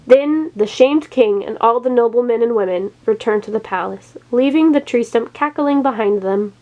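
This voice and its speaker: A woman reads a children's story aloud as the storyteller. Her voice sits a little higher than average, in a narrated read-aloud style. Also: moderate pace, dull audio.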